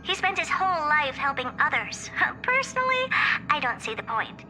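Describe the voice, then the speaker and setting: A woman's voice: high-pitched, sing-song, moderate pace, pushed and bright, full character voice. A woman voicing a proud, eccentric, youthful female genius scientist in a video game, self-assured and theatrical, delivering scripted monologues. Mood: smug, reflective. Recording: music behind the voice. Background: music.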